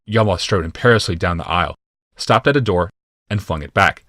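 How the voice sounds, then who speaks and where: A man with a low voice narrates a fantasy audiobook. His delivery is deliberate and dramatic, clearly scripted storytelling. The sound is close and dry, without room echo. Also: sharp tone.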